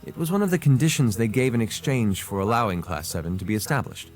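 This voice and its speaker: Eloquent noble prince, swooping delivery. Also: male voice, fairly low pitch, very animated, fast talker, darkish tone. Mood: measured, earnest. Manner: theatrical, refined. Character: eloquent, playful noble prince addressing students. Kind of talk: scripted game cutscene acting. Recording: music behind the voice.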